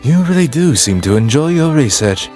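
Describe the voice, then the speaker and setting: A man plays a learned doctor in an anime. The delivery is precise and didactic, with lively rises and falls in a middle register. Faint music sits behind it. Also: mid-range voice, moderate pace, lightly acted. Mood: calmly instructive. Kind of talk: anime dub acting.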